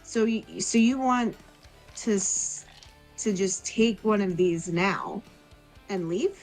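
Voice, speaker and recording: A woman's voice: medium pitch, slow pace, darkish tone, faint music behind, low-quality recording. A woman playing a sheltered, cautious village woman, hesitant and worried, in a tabletop game. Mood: torn.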